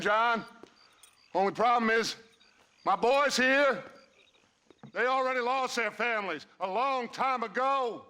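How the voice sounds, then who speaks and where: A man's voice: very high voice, sharp tone, heavily acted. An actor playing a charismatic male outlaw leader who speaks in a grand, mocking way. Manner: grandiose, mocking, slow and theatrical. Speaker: gang leader.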